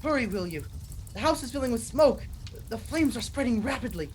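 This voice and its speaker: A man's voice: very high-pitched, animated, fairly quick. An actor playing a desperate, obsessed husband who narrates his horror tale in an intense, melodramatic 1940s radio style. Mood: frantic, urgent.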